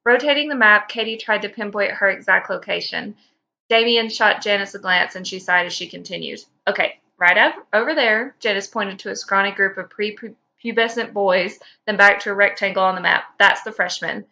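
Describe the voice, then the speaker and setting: A woman co-hosting a podcast, informal and expressive, moving between casual chat and reading fan fiction aloud. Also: mid-range voice, moderate pace, creaky, muffled recording. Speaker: podcast co-host.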